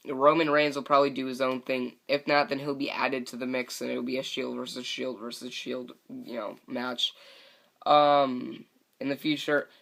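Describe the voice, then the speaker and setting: A man's voice: medium pitch, bright, forceful tone. A young man hosting a solo wrestling podcast, rambling with many fillers and restarts, his voice a little scratchy from talking all day, on a broken microphone. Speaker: podcast host.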